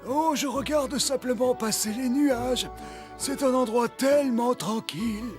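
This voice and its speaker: A woman voicing a shy, dreamy little vehicle who loves quiet in a children's cartoon, gentle and hesitant. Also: high voice, a little slow, faint music behind. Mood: dreamy, confiding. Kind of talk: French children's cartoon dub.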